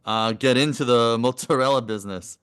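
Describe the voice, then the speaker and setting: A male podcast host with a clear, resonant voice at a middle pitch. He guides the interview with short, quick follow-up questions. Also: a bit bright. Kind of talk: casual interview.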